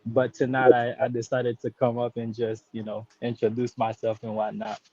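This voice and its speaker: A man's voice: medium pitch, completely flat delivery, normal speed, darkish tone, dry recording, lo-fi sound. Laid-back Haitian-born man in his thirties. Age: 30s. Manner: hesitant, many restarts and fillers, laid-back slang. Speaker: caller. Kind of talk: casual live-stream call-in chat.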